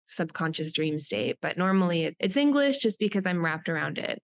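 Woman podcast guest, Polish native, fairly low voice, reflective and halting, dry sound. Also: lively intonation, dry recording. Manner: reflective, searching for words with many "like"s. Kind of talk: podcast interview.

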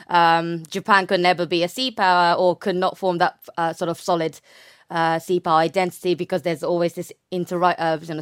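A woman's voice: fairly low pitch, a bit bright, clean tone, dry recording, clean studio sound. A young woman speaking as an academic guest, explaining her research conversationally and often rephrasing with "sort of".

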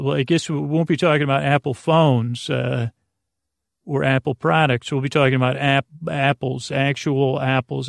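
Creaky-voiced, rambling man. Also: mid-range voice, no room sound, clean studio sound. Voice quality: gravelly, creaky. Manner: halting, meandering, repeats and trails off mid-thought. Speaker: podcast host. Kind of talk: rambling bedtime podcast monologue.

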